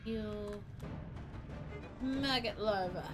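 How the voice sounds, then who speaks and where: A woman streaming a game, chatting in a relaxed way to her viewers and to the monsters she fights. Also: mid-range voice, drawn-out words, over music. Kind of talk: casual game stream chat.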